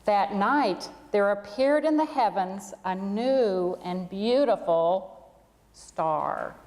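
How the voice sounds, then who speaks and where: A woman's voice: mid-range voice, animated, slow, a little mellow. A woman reading a children's storybook aloud to a congregation in a church. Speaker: reader at a church. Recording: echoey hall with long reverb.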